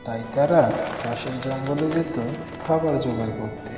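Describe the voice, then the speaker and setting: A man's voice: medium pitch, moderate pace. A man narrating a scripted children's cartoon story. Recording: very echoey long reverb, music behind.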